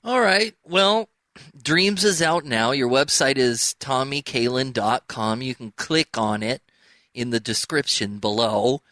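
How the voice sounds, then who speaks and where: Dry-sounding male interview host, medium pitch. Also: unhurried, bright, forceful tone, no room sound. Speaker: show host. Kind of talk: casual interview questioning.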